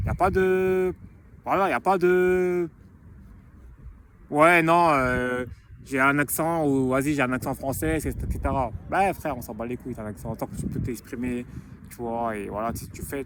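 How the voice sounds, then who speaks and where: A man's voice: fairly high pitch, fairly bright tone, a little hiss or hum. A young man talking casually in a vlog, slangy and rambling, restarting and repeating phrases. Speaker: YouTuber promoting his book.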